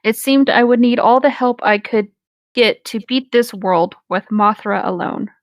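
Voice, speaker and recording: A woman's voice: fairly high pitch, a little slow, no room sound. A woman reading a story aloud among friends, with casual asides. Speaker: co-reader on a group creepypasta reading.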